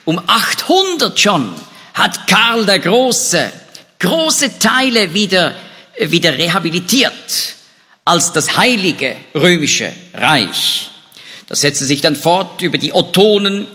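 A man's voice: sits high, takes it slowly. A man giving a spoken talk on history, with frequent hesitations and restarts. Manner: lively, animated delivery with hesitations. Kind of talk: lecture on European history. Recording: echoey hall reverb.

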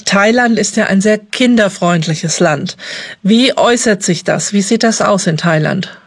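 A woman's voice: mid-range voice, a little slow, dry recording, clean studio sound. A woman hosting a podcast interview, welcoming her guests and asking friendly, encouraging questions.

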